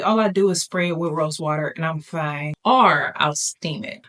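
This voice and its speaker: A sassy, playful woman talks straight to her viewers in a relaxed vlog chat, calling them "baby" often. Her voice sits on the low side for a woman. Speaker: beauty vlogger. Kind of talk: casual chat to camera.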